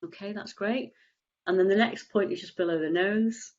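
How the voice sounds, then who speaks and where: A woman's voice: medium pitch, normal speed, darkish tone. Woman with a cold, bunged up, chatty and rambling, kinda muffled call audio. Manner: chatty, rambling, frequent restarts. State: has a cold, stuffy nose. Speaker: EFT tapping practitioner, guest. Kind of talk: casual explanatory chat.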